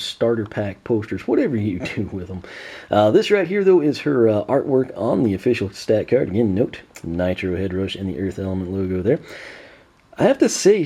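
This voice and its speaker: Hoarse man chatting through an unboxing. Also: lowish voice, warm tone. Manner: chatty, frequent "uh" fillers, clears throat. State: hoarse, losing his voice. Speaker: toy collector unboxing host. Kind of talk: casual unboxing commentary.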